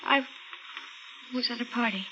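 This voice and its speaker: A woman's voice: fairly high pitch, unhurried, pushed and bright, faint music behind. An actress playing a frightened, shaky young woman in a 1950s radio mystery, stammering and repeating herself. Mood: subdued. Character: frightened, shaky young woman insisting she saw a corpse. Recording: noisy, muffled old radio recording.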